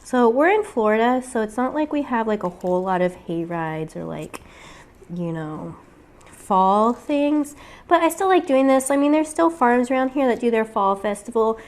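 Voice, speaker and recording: A woman's voice: fairly high pitch, lively intonation, normal speed, a little mellow. A woman with a weak voice from getting over a cold, chatting casually through a step-by-step crafting tutorial with frequent fillers.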